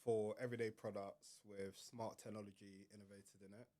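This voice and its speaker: A man, a bit croaky from being ill, explaining his work as a podcast guest in a measured way, with plenty of fillers. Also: fairly low pitch, flat delivery, dark tone. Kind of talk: casual podcast interview.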